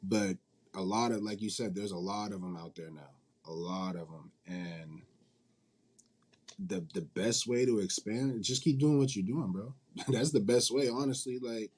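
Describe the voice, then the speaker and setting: Male interview guest, low relaxed voice, casual and colloquial, leans on repetition to make points. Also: unhurried. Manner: informal, colloquial, emphatic repetition. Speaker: guest, indie manga publisher and company CEO.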